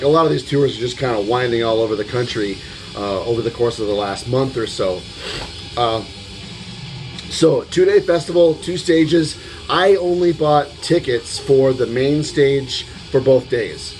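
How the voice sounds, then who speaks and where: A man with a slightly hoarse voice giving a casual vlog recap, rambling and hesitant, with the odd casual swear word. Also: mid-range voice, measured pace, slight background noise, poor audio. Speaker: music vlogger. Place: at home. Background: metal music playing in the background.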